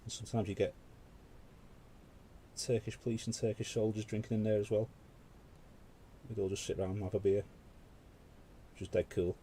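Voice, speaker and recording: A man's voice: fairly low pitch, level tone, moderate pace, long pauses, dark tone, slight background noise. A British man hosting a paint-along stream, chatting casually with rambling asides. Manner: rambling, conversational, full of asides. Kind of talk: casual chat while painting.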